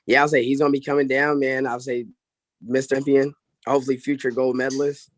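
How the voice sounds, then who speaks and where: A man's voice: medium pitch, little pitch movement, fast talker, no echo. A young man from Illinois, a wrestling head coach, chatting easily as a guest on a video call interview. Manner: easygoing, repeats "I'll say" and "oh, yeah". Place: small indoor room. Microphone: video call webcam.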